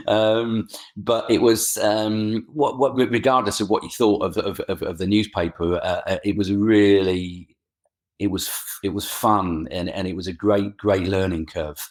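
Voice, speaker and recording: Man, Essex accent, middle-aged, deep voice, hesitant, repeats words, interview guest. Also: fairly even intonation, unhurried, darkish tone. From England. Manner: hesitant, frequent word repeats and restarts. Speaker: guest, freelance photographer. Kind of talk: casual interview chat. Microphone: remote interview recording.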